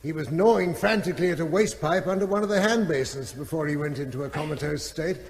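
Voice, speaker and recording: An actor playing a steady small-town vet in an old radio drama. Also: male voice, a little high, quick pace, lightly acted. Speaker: local vet. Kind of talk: 1970s radio drama acting. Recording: clear background noise.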